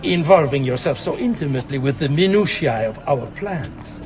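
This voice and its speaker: A man's voice: fairly high pitch, animated, normal speed, close to an everyday voice. A man playing a scheming Venetian nobleman in a video game, formal and courtly. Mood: deferential. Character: scheming Venetian nobleman, urgent, deferential to his master.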